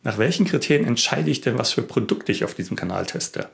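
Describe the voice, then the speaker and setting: A man from Berlin speaks casually as the host of a tech video channel. His voice sits at a middle pitch and has a noticeable creak. The delivery is informal and addressed straight to the viewers. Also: animated, fast, a bit bright. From Berlin, Germany. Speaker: YouTube tech vlogger, host. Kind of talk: casual talk to camera.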